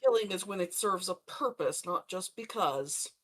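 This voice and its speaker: A woman's voice: mid-range voice, lively intonation, normal speed, a bit bright. A woman playing a tabletop game, bantering in character with a dry, sardonic manner.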